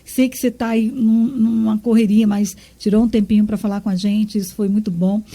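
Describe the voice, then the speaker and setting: Friendly Brazilian woman radio host, mellow voice, echoey. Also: mid-range voice, level tone, a little fast. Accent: Brazilian Portuguese. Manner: friendly, warm thanks, chatty. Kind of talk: radio interview.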